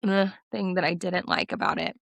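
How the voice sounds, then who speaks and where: A woman co-hosting a casual podcast chat by video call, hoarse from having lost her voice, talking animatedly. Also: moderate pace, no echo. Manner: animated, mocks with a high put-on voice. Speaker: podcast co-host.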